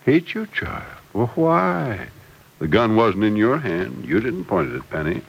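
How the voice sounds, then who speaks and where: A man's voice: medium pitch, wildly swooping pitch, a little slow, vocal fry, husky, heavily acted. An actor playing a kindly, plain-spoken older father figure in an old-time radio drama, patient and reassuring. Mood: concerned, fatherly. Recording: vintage radio recording.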